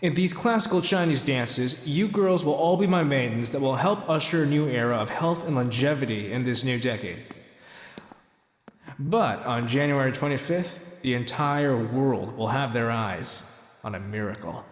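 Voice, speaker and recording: A man's voice: medium pitch, moderate pace, lightly acted. A man playing a smug, grandiose tycoon in an audio drama, declaiming like a pompous showman selling a miracle cure. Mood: smug, businesslike. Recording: echoey, reverberant hall sound.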